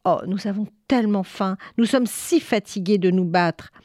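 A woman's voice: medium pitch, moderate pace, a little mellow, no room sound, clean studio sound, close to an everyday voice. A woman storyteller voicing hungry, clamoring male soldiers with an insistent, chanting delivery. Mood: weary, pleading.

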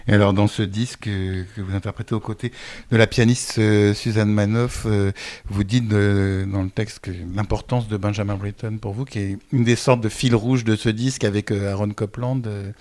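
Male radio host, lowish voice, long meandering questions. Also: fairly even intonation, normal speed, darkish tone. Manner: long wandering questions with many fillers. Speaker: radio interviewer. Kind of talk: radio interview.

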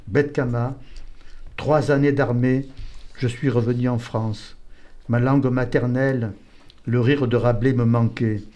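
Man, literary narration, slow measured reading, mellow warm tone. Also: medium pitch. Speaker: narrator reading his text. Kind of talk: poetic first-person narration.

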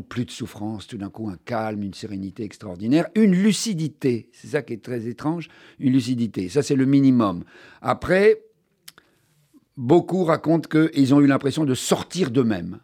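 An older man talks as an interview guest. He tells his story with animated emphasis and often restarts his sentences mid-thought. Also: mid-range voice, husky. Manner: animated storyteller, many restarts, stressed key words. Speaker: author and journalist guest. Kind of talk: conversational interview.

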